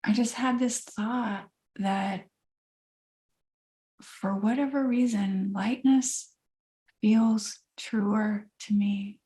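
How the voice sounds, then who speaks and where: A woman co-hosting a podcast, talking in a slow, thoughtful, reflective way and searching for words. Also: mid-range voice, fairly even intonation, dark tone. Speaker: podcast co-host and coach. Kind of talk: reflective casual chat.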